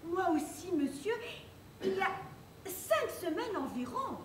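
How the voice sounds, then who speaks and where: An actress playing a vague, dreamy lady who marvels in drawn-out wonder at every coincidence on a stage. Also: very high-pitched, big pitch swings, slight background noise, heavily acted. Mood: bemused. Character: dazed, vague lady meeting a stranger. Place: theatre stage. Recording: echoey stage from far off.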